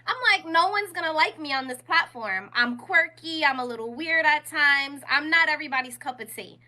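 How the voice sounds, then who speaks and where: A woman in her thirties with a New York accent talks easily as an interview guest. Her voice sits high and very bright. She drops into quick acted-out quotes of herself. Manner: animated, quotes herself in lively asides. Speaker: guest, rapping poet. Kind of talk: casual interview chat.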